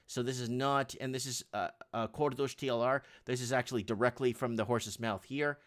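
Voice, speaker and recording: A man's voice: mid-range voice, fairly even intonation, brisk. A man hosting a casual live-stream talk show, reading headlines aloud and chatting with a guest, whose first language is Portuguese.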